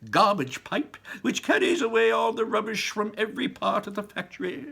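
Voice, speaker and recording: A man's voice: sits high, normal speed, vocal fry, fairly bright tone, breathy edge, voice pushed up, heavily acted. A man voicing an eccentric, whimsical chocolate maker in a theatrical way while reading a storybook aloud. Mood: breezy.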